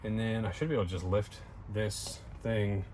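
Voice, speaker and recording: A man's voice: lowish voice, fairly even intonation, moderate pace, darkish tone, slight background noise. A man casually narrating a hands-on DIY job as he works, thinking aloud in a tentative way. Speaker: DIY video host.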